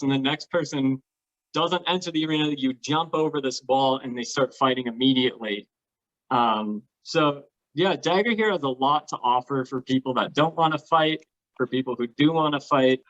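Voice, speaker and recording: A man with a middle-pitched voice explains his hobby in an enthusiastic, casual way. The recording is slightly dull and lo-fi, like an online chat. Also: fairly bright tone. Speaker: guest, LARP participant.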